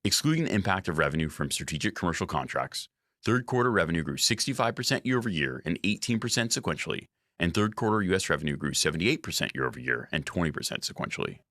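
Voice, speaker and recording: Male, very deep voice, dry close sound; formal corporate executive delivering scripted quarterly results. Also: animated, a bit bright, no echo. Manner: formal, measured reading of figures. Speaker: company executive presenting financial results. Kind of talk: scripted earnings call presentation.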